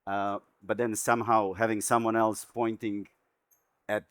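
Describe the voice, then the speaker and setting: Man, low relaxed voice. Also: a little slow, clean tone, dry recording, studio quality. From Croatia. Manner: relaxed, thoughtful, many backchannels. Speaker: curator and interviewer. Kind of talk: conversational art talk.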